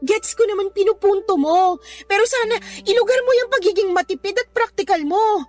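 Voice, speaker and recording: High-voiced, hurt, indignant girlfriend. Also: fairly quick, a little mellow, soft background music. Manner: emotional, argumentative. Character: hurt, indignant young girlfriend short of money. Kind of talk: Tagalog radio drama acting.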